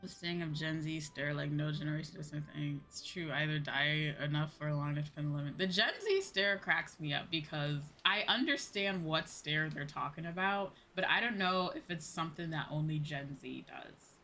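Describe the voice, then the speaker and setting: A woman's voice: deep voice, normal speed, hardly any pauses, sharp tone, faint music behind. A woman streamer talking casually and conversationally in a livestream chat. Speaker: VTuber streamer.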